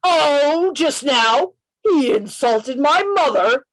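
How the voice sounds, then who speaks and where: Woman playing a very animated, theatrical gentleman adventurer. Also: sits high, slow, heavily acted, poor audio. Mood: mock indignant. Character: bold, theatrical gentleman adventurer narrating his own tale. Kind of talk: radio play reading, first-person narration. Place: remote reading over a video call.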